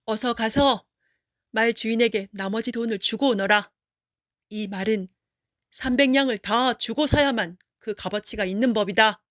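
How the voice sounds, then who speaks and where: A woman's voice: a little high, moderate pace, fairly bright tone, no room sound, clean studio sound, natural voice. A woman voicing a wise, stern young wife in a storybook tale, with formal, authoritative speech. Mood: stern scolding.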